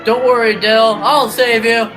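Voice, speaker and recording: A man's voice: extremely high pitch, level tone, normal speed. Teen boy playing a gallant young space hero. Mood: urgent, commanding. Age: teenager. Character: heroic, gallant young space adventurer, determined protector. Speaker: student actor. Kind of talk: old-time radio serial acting. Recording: music behind the voice.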